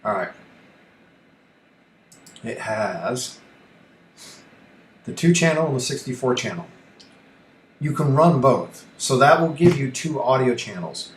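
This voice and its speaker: The recording is roomy and echoey, with faint noise behind the voice. A man talks slowly at a medium pitch as he hosts an informal streamed tutorial. He rambles, thinks out loud and puts himself down in an offhand way. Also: big pitch swings. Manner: rambling, thinking aloud, self-deprecating. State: allergy coughing and throat-clearing. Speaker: livestream host and ham radio operator. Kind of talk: casual live-stream tutorial.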